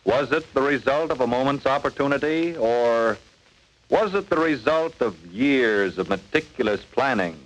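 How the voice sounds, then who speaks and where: An actor playing an urgent, dramatic announcer on a 1940s radio crime show, on an old recording. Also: male voice, medium pitch, expressive, moderate pace, close to an everyday voice, rough recording. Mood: urgent, questioning.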